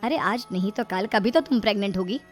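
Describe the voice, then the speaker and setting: A woman's voice: medium pitch, fast, faint music behind, close to an everyday voice. A woman voicing a scheming, fretful middle-aged mother-in-law with pleading, emphatic delivery in a comic story. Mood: exasperated.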